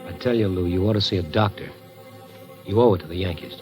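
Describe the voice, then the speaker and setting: An actor playing a loyal, protective friend in a 1940s radio drama, earnest and reassuring. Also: male voice, sits low, fast, natural voice. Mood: earnest, concerned. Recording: vintage radio broadcast with music behind.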